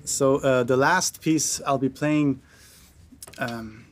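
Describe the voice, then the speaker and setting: Cellist from Austria addressing an audience. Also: male voice, medium pitch, takes it slowly, loud music behind the voice. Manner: relaxed, informal, with fillers and restarts. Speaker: cellist and composer. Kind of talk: speaking between pieces at a concert.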